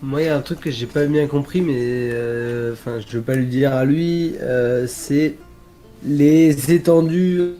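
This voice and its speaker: A man's voice: medium pitch, unhurried, dark tone, soft background music. A man playing in an online tabletop game, chatting casually and thinking aloud. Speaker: player.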